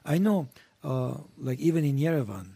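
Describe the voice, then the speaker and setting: Blunt husky man. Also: medium pitch, lively intonation, moderate pace, dark tone. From Lebanon. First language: Armenian. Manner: blunt and provocative, swears freely. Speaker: guest. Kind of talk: casual panel chat.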